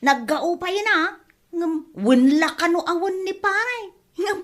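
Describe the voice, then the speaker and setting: A woman's voice: very high voice, lively intonation, takes it slowly, a bit bright, heavily acted. A woman acting a gossipy village neighbour in an Ilocano comedy radio drama, with a Filipino Ilocano accent. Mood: gossiping.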